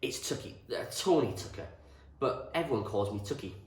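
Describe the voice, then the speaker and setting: A man's voice: medium pitch, expressive, fairly quick, close to an everyday voice. Man doing a chatty young boy, lilting. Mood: matter-of-fact. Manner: frank, colloquial, questioning. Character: chatty, blunt young boy, a wartime-style storybook lad. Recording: roomy echo, distant from the mic.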